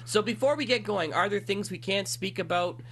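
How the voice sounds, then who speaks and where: A man's voice: sits high, normal speed, a bit bright, a little hiss or hum. A man hosting a conversational interview as a podcast host, speaking while getting over a cold.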